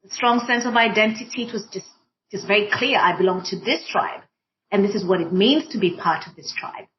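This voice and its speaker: A woman with a Kenyan accent, whose first language is Swahili, speaks as a guest in a reflective discussion. Her mid-pitched delivery is deliberate, often rephrasing for emphasis. The audio is a little dull and lo-fi. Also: slight background noise. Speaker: panel guest, diversity and inclusion practitioner. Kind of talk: reflective personal account in a group discussion.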